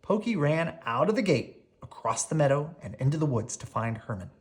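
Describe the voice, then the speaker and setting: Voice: mid-range voice, very animated, normal speed, a little mellow. A storyteller reading a children's picture book aloud expressively, drawing out key words.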